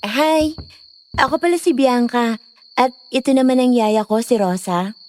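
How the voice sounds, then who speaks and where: A woman's voice: fairly high pitch, lively intonation, measured pace, a little mellow. A woman playing a polite, easily embarrassed young woman in an audio drama. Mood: polite, friendly. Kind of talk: Filipino audio horror drama acting.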